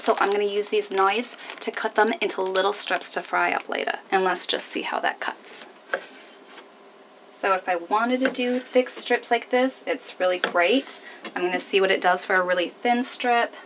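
A woman's voice: medium pitch, normal speed, noisy background. A woman in her thirties hosting a casual sailing vlog, chatty and upbeat, talking to a handheld camera. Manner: chatty, upbeat, a bit playful. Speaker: sailing vlogger. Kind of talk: casual vlog chat and sponsor read. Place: out on a fishing boat on open water. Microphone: handheld camera held at arm's length.

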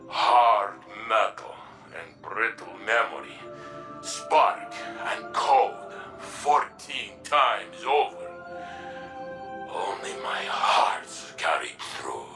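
An actor playing a weary, noble robot warrior in a video game, with a halting, solemn delivery. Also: male voice, low-pitched, full character voice. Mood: anguished, resolute. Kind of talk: dramatic video game monologue.

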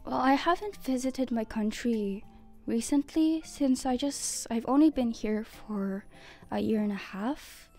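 A teenage schoolgirl answering interview questions calmly in a school library. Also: a little high, measured pace, few pauses, faint music behind. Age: teens. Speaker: student.

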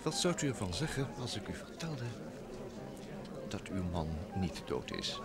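An actor playing a smooth, talkative young private secretary and blackmailer, theatrically polite, in vintage radio drama style. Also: male voice, lowish voice, big pitch swings, a little slow. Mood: slyly calculating. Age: young adult. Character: smooth, sly thirty-year-old New York private secretary, a blackmailer. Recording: old radio play recording with music.

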